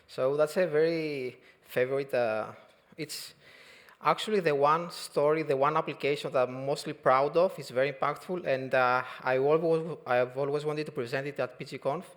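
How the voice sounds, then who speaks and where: A man in his thirties, from Albania and raised in Greece, giving a relaxed technical talk at a conference, halting often with fillers and restarts. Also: a little high. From Albania, grew up in Greece. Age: 30s. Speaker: conference speaker. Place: conference hall.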